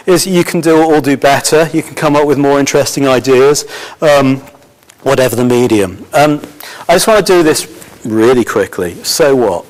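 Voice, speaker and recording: A man giving a conference talk as a film school course leader, halting and full of fillers and restarts, his voice a little hoarse. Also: mid-range voice, poor audio. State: slightly hoarse.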